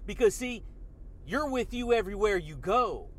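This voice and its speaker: A man delivers a fired-up motivational talk, his voice pitched high for a man. He talks in a slangy, hyped way, throwing in dude and baby as he addresses the listener. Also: lively intonation, normal speed, a bit bright. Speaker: motivational podcast host. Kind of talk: energetic motivational monologue.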